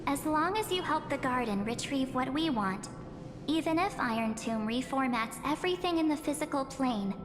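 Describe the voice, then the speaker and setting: A woman's voice: high voice, animated, normal speed. An actress playing an uncanny memory spirit who poses as a perky girl in a video game, teasing, breezy and persuasive. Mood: sly, persuasive. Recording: long hall reverb, game music behind.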